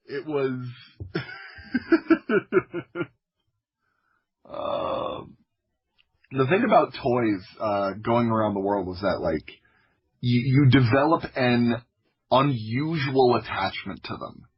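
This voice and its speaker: A man from the United States telling personal stories casually as a podcast guest, in an informal, humorous way with a husky voice. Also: mid-range voice, expressive, takes it slowly, pauses often, muffled recording. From United States (Florida). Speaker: podcast guest, audio drama creator.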